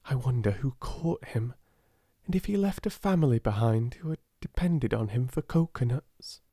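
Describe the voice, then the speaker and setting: A man's voice: medium pitch, animated, normal speed, warm tone, breathy edge, dry recording, clean studio sound, voice pushed up. A man voicing a brave, imaginative little girl with a British accent, earnest and kindly in a storybook reading. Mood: comforting, dreamy.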